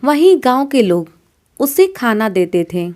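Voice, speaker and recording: A woman's voice: a little high, sing-song, measured pace, a little mellow. A woman narrating a scripted moral story as a storyteller, in a didactic manner. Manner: didactic, addressing listeners as friends.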